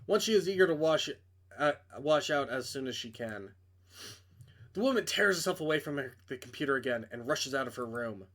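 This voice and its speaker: A man's voice: mid-range voice, lively intonation, brisk, fairly bright tone. A man reading a visual novel aloud as dramatic narration, with off-the-cuff asides and stumbles that he corrects. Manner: stumbles over words and corrects himself.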